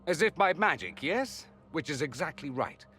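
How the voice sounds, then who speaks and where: An actor playing an urbane, flamboyant mage in a video game, wry, theatrical and self-assured. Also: male voice, on the high side, sing-song, normal speed, sharp tone. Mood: wry, urgent. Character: witty, flamboyant, urbane male mage from a foreign empire. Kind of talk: video game character acting. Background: music.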